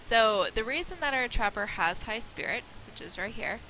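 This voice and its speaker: A woman's voice: on the high side, lively intonation, moderate pace, bright, forceful tone, a little hiss or hum, poor audio. A woman with a nasal voice and a slight cold, rambling through casual, self-deprecating gameplay commentary. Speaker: let's play host.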